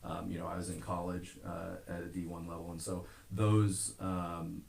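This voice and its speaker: A very low-voiced American man talks in a casual interview. He speaks earnestly and rambles along, leaning on filler phrases. The room adds a noticeable echo to his voice. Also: level tone, moderate pace, vocal fry, a little mellow. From Omaha, Nebraska. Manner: rambling, earnest, frequent "you know". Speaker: podcast guest, gym owner. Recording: roomy, echoing podcast recording.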